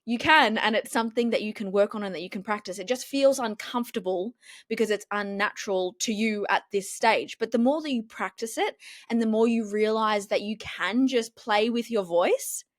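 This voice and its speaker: A woman, a TV journalist and confidence coach, talking in a casual interview with an expressive, strong reporter-style delivery. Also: medium pitch, normal speed, no room sound, studio quality.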